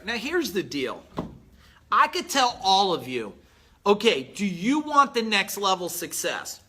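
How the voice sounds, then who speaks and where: A man in his forties giving a blunt, emphatic motivational talk as a business coach on a live stream, clearing his throat now and then. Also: sits high, bright, forceful tone. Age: 40s.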